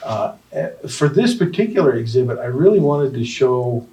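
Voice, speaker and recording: A man's voice: medium pitch, expressive, moderate pace, warm tone, muffled recording. A man, an artist, answering panel questions thoughtfully, with restarts and hedges. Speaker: artist and exhibition organizer. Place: art center gallery room. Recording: distant mic in a room.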